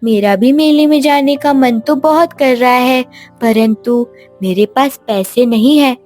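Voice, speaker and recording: A woman's voice: high-pitched, unhurried, a little mellow, faint music behind. A woman voicing an eager, trusting young girl in a children's story, pleading and exclaiming. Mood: eager, coaxing. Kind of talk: animated moral story dialogue.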